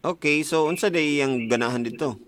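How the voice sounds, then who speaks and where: A man's voice: on the high side, normal speed, a bit bright, no echo. A young Filipino man with a Cebuano accent hosting a casual call-in advice show on radio, bantering and teasing. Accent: Filipino, Cebuano-speaking. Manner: teasing, joking. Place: radio studio. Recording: music bed behind studio voice.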